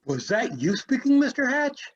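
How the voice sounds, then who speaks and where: A man's voice: very high-pitched, big pitch swings, moderate pace, highs cut off, full character voice. A man playing an ailing, overworked newsstand seller, plaintive and groaning, in a readers theatre story. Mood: startled. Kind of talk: readers theatre character reading.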